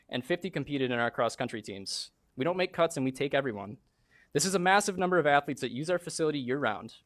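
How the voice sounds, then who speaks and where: A man's voice: medium pitch, a bit bright. A man, a track coach, reading an earnest prepared statement at a school board meeting. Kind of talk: prepared public comment.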